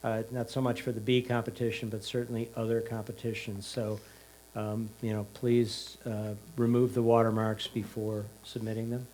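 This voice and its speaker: A man's voice: lowish voice, level tone, moderate pace, darkish tone. A man plainly announcing entries and scores at a competition. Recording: faint mains hum.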